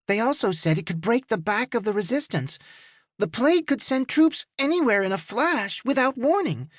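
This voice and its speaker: A man's voice: very high-pitched, lively intonation, normal speed, no echo, studio quality, voice pushed up. A narrator voicing a brash, teasing girl spy who is almost thirteen, in an audiobook. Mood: cocky.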